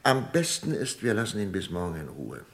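An actor playing a jovial, insistent boss hosting guests in a crime radio play, coaxing and emphatic. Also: male voice, low voice, wildly swooping pitch, a little fast, exaggerated voice. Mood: concerned, hushed. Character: jovial, insistent male host and boss, Herr Bergmann.

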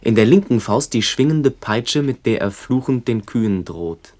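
A man narrating in a radio play as a sober chronicler of a remembered village, measured and descriptive. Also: medium pitch, expressive, darkish tone, close to an everyday voice. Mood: sober, evocative.

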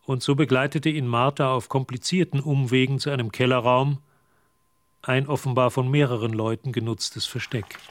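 A man narrating a scripted radio drama as a measured, observant storyteller. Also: medium pitch, fairly even intonation, close to an everyday voice. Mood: matter-of-fact.

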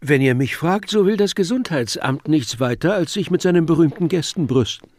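An actor playing an aggrieved, self-important festival impresario in a declamatory radio drama manner. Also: male voice, fairly high pitch, expressive, a little fast, darkish tone, no room sound, clean studio sound. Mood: indignant, proud.

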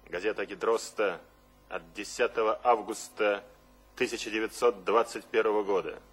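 An actor playing a bold, blunt male poet in a radio drama, speaking in a deliberate, declamatory manner. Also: lowish voice, fairly even intonation, a bit bright, husky. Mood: declamatory, solemn. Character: Mayakovsky, bold blunt male poet reciting his own words. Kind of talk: theatrical radio drama acting.

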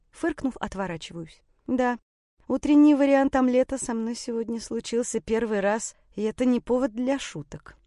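A woman's voice: fairly high pitch, warm tone, no echo, studio quality. A woman reading a scripted first-person story as an audiobook narrator.

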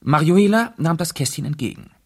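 A gravelly, theatrical gentleman sleuth. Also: on the high side, animated. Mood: explanatory, self-assured. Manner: measured, theatrical, dramatic pauses. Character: suave gentleman amateur detective lord recounting a cruel case. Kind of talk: 1970s German radio drama acting.

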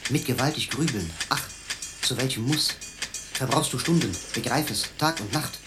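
A man's voice: mid-range voice, moderate pace, over loud music, natural voice. A man voicing a rhyming poetry computer that declaims pompous verse in a German radio drama. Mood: solemn declamation.

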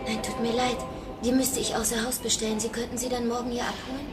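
A woman's voice: on the high side, little pitch movement, quick pace, over loud music, natural voice. A woman playing a young record shop clerk in a radio play, polite, matter-of-fact and a little guarded. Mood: polite, reserved. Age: young adult. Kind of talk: radio drama acting.

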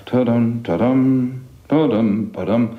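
A man's voice: mid-range voice, slow, narrow, tinny audio. A man being interviewed casually, humming a tune from memory between short remarks. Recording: roomy echo, faint music behind.

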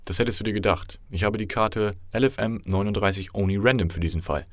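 A man's voice: fairly low pitch, fairly even intonation, fast talker, no echo, lightly acted. An actor playing an overwrought, theatrical male card-game finalist. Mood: dramatic.

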